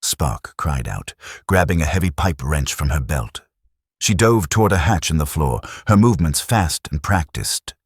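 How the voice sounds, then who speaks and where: Super deep male narrator, very expressive up and down delivery, dramatic storytelling. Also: normal speed, breathy edge, no echo, clean studio sound. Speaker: audiobook narrator.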